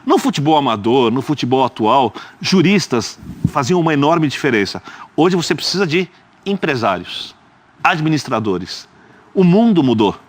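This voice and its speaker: A man's voice: fairly high pitch, sing-song, normal speed. A man, arguing his view as a guest in a studio football debate, emphatic and explanatory. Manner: emphatic and explanatory, with rhetorical asides.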